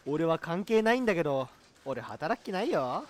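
A man playing an excitable, lazy, girl-starved university student with a whiny manner in an amateur radio drama. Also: on the high side, normal speed.

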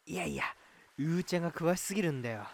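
A man playing an excitable, lazy, girl-starved university student with a whiny manner in an amateur radio drama. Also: on the high side, very fast. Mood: curious.

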